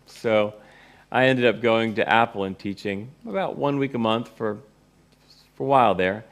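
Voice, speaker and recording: A man's voice: lowish voice, moderate pace. A middle-aged man telling a personal story informally to an audience, with a wry way of speaking. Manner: wry, acts out remembered dialogue. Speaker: presenter, course teacher and author.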